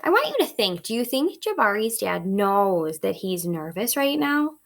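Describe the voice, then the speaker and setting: A woman teacher reading a picture book aloud to children, expressive and instructive, pausing to ask questions. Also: medium pitch, gravelly. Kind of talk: read-aloud lesson, storytelling with questions to children.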